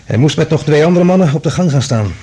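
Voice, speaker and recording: A man's voice: a little high, lively intonation, quick pace, warm tone, husky. A male actor playing an agitated, earnest man bringing alarming news in a science fiction radio play. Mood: grave, tense. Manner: urgent, earnest, dramatic.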